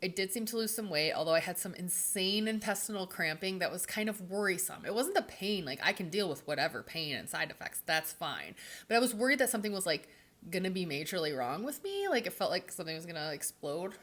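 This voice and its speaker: A woman's voice: mid-range voice, a bit bright. Stuffy-nosed woman rambling a vlog update. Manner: rambling, lots of fillers like "um" and "like". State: stuffy from a cold. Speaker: vlogger. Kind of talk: casual chatty update.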